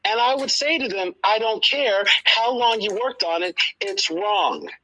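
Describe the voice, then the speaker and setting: A debater in their sixties with a bright, forceful voice, speaking in a live online discussion. The delivery is insistent and explanatory, circling back to points to drive them home. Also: medium pitch, unhurried, poor audio. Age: 60s. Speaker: panelist in a live audio discussion.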